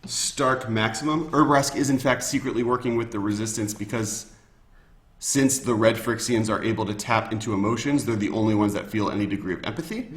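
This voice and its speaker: A man's voice: fairly low pitch, fairly quick. A man chatting casually as a guest commentator, explaining fantasy card game lore in a rambling, enthusiastic fan's way. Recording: roomy, echoey sound.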